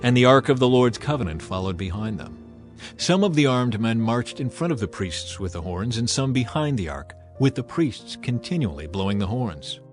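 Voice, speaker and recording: A man's voice: mid-range voice, big pitch swings, normal speed. Man narrating solemn scripture over music. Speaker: narrator of a dramatized audio Bible. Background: background music.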